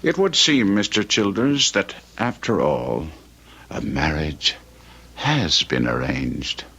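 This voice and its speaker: An actor playing an arrogant, scheming Victorian gentleman in an old-time radio drama, theatrical and contemptuous. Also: low voice, big pitch swings, slow pace, dull audio, full character voice. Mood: smug, menacing. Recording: noisy vintage radio recording.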